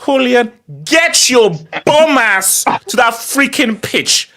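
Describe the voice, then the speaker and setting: A man voicing a scornful football manager who mocks and bullies with drawn-out insults, in a comic chat-show skit. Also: extremely high pitch, animated, noisy background, voice pushed up, full character voice. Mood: mocking, angry. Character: sarcastic, bullying male football manager, scornful and angry.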